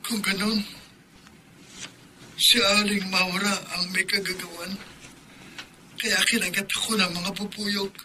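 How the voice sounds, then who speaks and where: A man's voice: high voice, flat delivery, unhurried, pauses often, noisy background. An actor in a radio drama playing a guilt-ridden young man who talks in a pained, remorseful way.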